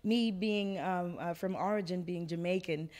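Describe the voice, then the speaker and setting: Woman in her 40s, slightly low voice, chatty interview, laughs a lot, close mic. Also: normal speed, darkish tone. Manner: chatty, laughing easily, self-correcting. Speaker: singer being interviewed. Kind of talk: casual interview. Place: indoors, quiet room.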